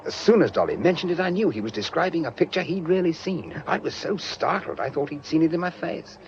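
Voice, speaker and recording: An actor playing a breezy, witty young painter with a British accent, flippant and theatrical in a vintage radio drama. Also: male voice, fairly high pitch, animated, brisk, creaky. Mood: animated recounting. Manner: jaunty, flippant, theatrical flourishes. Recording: muffled, noisy old radio recording.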